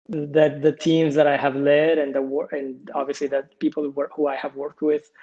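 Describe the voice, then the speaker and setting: A man with a soft-edged, mellow voice talks as an organizer in a casual interview. He speaks haltingly, often searching for the next word. The audio is somewhat dull and muffled. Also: fairly high pitch, creaky. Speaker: guest, political organizer and advisor.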